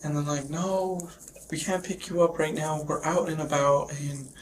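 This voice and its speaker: A man being questioned by police, recounting in a halting, shaken way while getting over a sickness. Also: a little high, flat delivery. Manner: shaken, halting, repeats himself. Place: police questioning, recorded on bodycam. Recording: noisy police bodycam audio.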